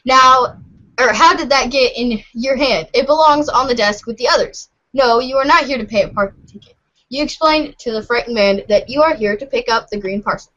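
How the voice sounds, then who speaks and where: A woman's voice: on the high side, moderate pace, bright, forceful tone. A woman reading webcomic narration aloud in an informal, giggly way for a fan podcast. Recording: dull lo-fi voice recording.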